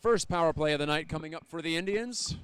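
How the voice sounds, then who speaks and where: A man doing live audio play-by-play of an ice hockey game as the announcer, describing the action in a measured, detailed way that lifts with the play. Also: a little high, a bit bright, clean tone, no room sound. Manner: measured, descriptive, lifting on scoring chances. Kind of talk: live ice hockey radio-style commentary.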